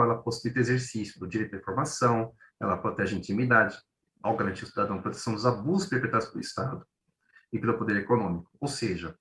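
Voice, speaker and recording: A man's voice: on the low side, bright, forceful tone, recorded from a distance. A Brazilian man with a São Paulo accent giving an informal academic presentation, conversational and often repeating his words as he explains. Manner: conversational, repeats words, illustrates with acted-out everyday examples. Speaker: co-presenter, journalist. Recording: roomy echo.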